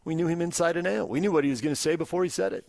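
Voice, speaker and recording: A man's voice: a little high, rapid-fire, creaky, a little mellow, dry recording. A man hosting sports radio, talking through unscripted commentary and thinking aloud, sometimes voicing imagined quotes.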